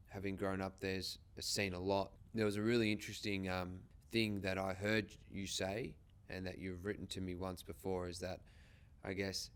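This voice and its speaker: A man's voice: sits low, clear, resonant tone. A man with an Australian accent, hosting a podcast and interviewing in an informal, casual way. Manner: informal, occasional swearing.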